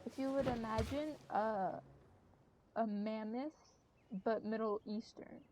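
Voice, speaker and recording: A woman's voice: fairly even intonation, slow, a little mellow, slight background noise. A woman chatting casually and jokingly with a friend while playing a game, in a silly, giggly way. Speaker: video game player sharing gameplay with a friend. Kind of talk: casual joking chat over gameplay. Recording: low-quality voice chat, game music behind. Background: video game music.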